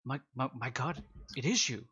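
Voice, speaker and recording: A man's voice: medium pitch, lively intonation, normal speed, bright, forceful tone, husky, exaggerated voice. A man voicing a young male resistance corporal in his twenties, stammering and reverent. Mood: awed, earnest. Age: 20s.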